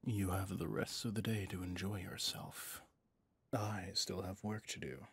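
A man playing a suave, possessive villain boss in an intimate ASMR roleplay, speaking in a courtly, seductive way with a breathy, husky voice. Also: deep voice, level tone, a little slow, a bit bright, full character voice. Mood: composed, commanding. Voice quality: breathy, husky, often near a whisper.

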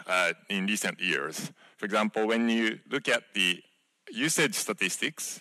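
Man, 30s, keynote speaker; deep but bright, forceful tone, dry close headset sound, chatty delivery full of ums and restarts. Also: no room sound, poor audio. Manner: conversational with many fillers and restarts. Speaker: keynote speaker, software project creator. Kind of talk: conference keynote talk. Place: large conference hall stage.

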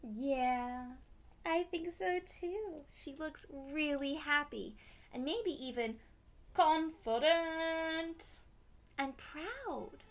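A woman's voice: sits high, animated, extremely slow. A woman in her twenties reading a storybook aloud expressively, with asides to young children. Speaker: children's read-aloud host. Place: small decorated room indoors.

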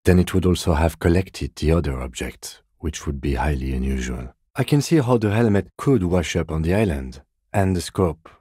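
An actor playing a composed, dry-witted, by-the-book middle-aged police detective in a video game, speaking in a measured, precise, deadpan way. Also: male voice, low-pitched, slightly rough, no room sound. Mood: calm, matter-of-fact. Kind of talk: video game character dialogue.

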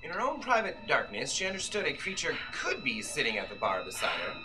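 A man in his thirties narrating a novel as a suspenseful old-time radio play, with deliberate, dramatic phrasing. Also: a little high, wildly swooping pitch, poor audio. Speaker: author reading his own novel. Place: magazine-lined shop, indoors, small audience. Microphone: camera in the audience, some distance away. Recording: live shop performance under loud music. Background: live violin and keyboard music.

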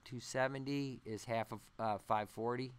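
A man giving an unscripted software tutorial, thinking aloud haltingly as he works through each step. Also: medium pitch, steady tone, clear, resonant tone. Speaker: animation tutorial instructor. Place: voice-over on a screen-recording tutorial.

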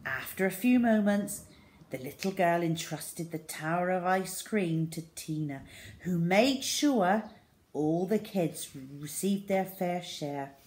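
A woman's voice: fairly low pitch, a little mellow, slight background noise, poor audio. A middle-aged woman reading a children's story aloud expressively. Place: small room indoors at home.

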